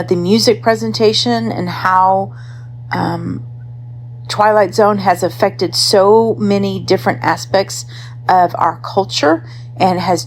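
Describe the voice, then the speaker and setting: Female guest contributor, lower-than-average register, informal spoken report, light noise behind. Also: low-quality recording. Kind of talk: informal recorded testimonial.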